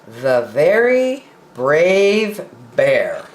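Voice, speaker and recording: A woman reads a children's picture book very slowly in a lowish, highly animated voice. She leaves dramatic pauses between phrases, and the room is echoey. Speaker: children's story time reader. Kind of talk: expressive picture-book reading.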